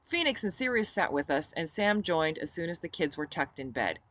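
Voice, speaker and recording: A woman reading her own novel aloud as expressive first-person narration. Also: sits low, moderate pace. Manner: dramatic reader who shifts into character for dialogue.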